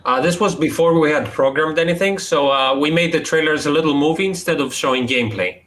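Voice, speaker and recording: A young man from Greece, a game developer, presenting his indie game in a hesitant way, often pausing with fillers. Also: a little high, a bit bright.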